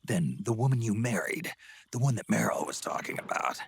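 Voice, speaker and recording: A weary veteran American soldier in a video game cutscene. The voice is low and full of gravel, yet it swings widely in pitch. He is terse, dry and suspicious. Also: very animated, a little fast, full character voice. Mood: probing, disapproving. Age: older. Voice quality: gravelly, raspy. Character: gruff, weary, sardonic veteran male soldier on mission.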